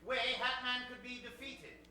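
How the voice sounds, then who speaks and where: Very high, forceful male radio announcer, echoey. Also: monotone, measured pace. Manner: theatrical, declamatory. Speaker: announcer and narrator. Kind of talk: old-time radio announcing, melodramatic scripted-style narration. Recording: very echoey stage, distant mic, music behind.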